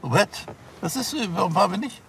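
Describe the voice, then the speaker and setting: A man's voice: high-pitched, lively intonation, fairly quick, gravelly, noisy background. An actor playing an officious, easily exasperated police superior, stammering and fussy in his speech. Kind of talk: TV crime comedy dialogue.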